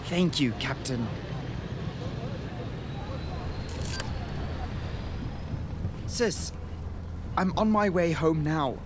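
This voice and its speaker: A man's voice: fairly high pitch, animated, takes it slowly. A man playing an earnest, wide-eyed boy traveler in a radio drama, describing things with awe. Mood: polite, eager. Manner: descriptive, awed storytelling. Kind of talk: radio drama monologue and dialogue. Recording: noisy outdoor and vehicle ambience behind.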